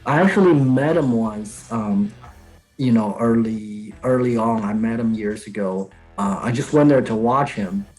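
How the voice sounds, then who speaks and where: A man from China with a Chinese accent, a guest comedian chatting casually and telling stories with many pauses and fillers. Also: on the low side, faint music behind, rough recording. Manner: hesitant, many fillers, animated storytelling. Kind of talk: casual chat.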